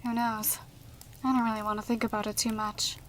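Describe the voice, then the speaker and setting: A woman playing a calm, analytical young detective in an audio drama, speaking in a composed, matter-of-fact way. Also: a little high, brisk, slight background noise, close to an everyday voice. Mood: composed, deductive. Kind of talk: amateur audio drama dialogue.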